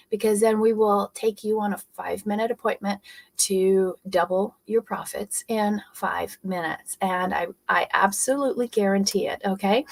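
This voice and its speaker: A woman from Europe whose native language is German, giving an energetic, persuasive motivational business talk and often checking in with "okay?". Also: mid-range voice. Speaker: business coach.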